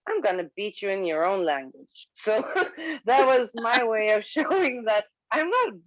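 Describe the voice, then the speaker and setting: A woman's voice: on the high side, big pitch swings, dry recording. Candid woman, dull dry audio. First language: Swedish. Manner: candid, halting with frequent restarts and laughs. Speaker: guest. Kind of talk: casual video-call conversation.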